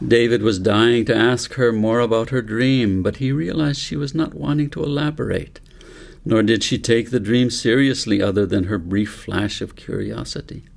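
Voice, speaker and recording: A man narrating a children's audiobook in a measured, deliberate storytelling manner. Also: mid-range voice, creaky. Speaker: author reading own book aloud.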